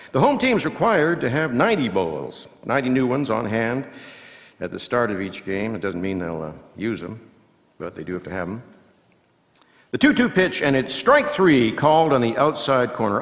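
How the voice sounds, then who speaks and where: Man performing low, swooping baseball announcer in reverberant hall. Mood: punchy, excited. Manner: measured asides breaking into punchy, drawn-out calls. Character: seasoned, folksy male baseball radio announcer. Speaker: reader performing a scripted baseball commentary. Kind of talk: baseball play-by-play commentary. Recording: long hall reverb from live venue.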